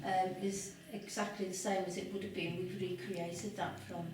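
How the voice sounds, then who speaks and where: A woman's voice: lowish voice, flat delivery, normal speed. A woman giving an informal, explanatory guided tour of a house, speaking with some hesitation. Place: large room inside an old house. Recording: echoey room, distant mic, background noise.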